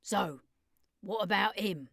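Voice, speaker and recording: A woman's voice: medium pitch, slow, creaky, a bit bright, breathy edge, no echo, exaggerated voice. A woman as streetwise vampire woman, blunt, wary, colloquial, audiobook, dry sound. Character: brash, streetwise, suspicious female vampire, protective of children.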